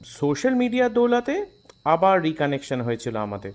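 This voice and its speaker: An actor playing a friendly young engineer in an audio drama, speaking conversationally. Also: male voice, fairly high pitch, wildly swooping pitch, fairly quick. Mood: explaining.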